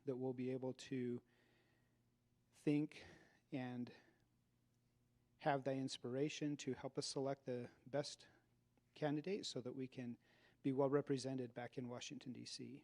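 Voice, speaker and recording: A man with an American accent leading a formal public prayer in a hall, speaking in a reverent, measured way with old-fashioned thee and thou wording. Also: mid-range voice, level tone, dark tone. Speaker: prayer leader at an event. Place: event hall.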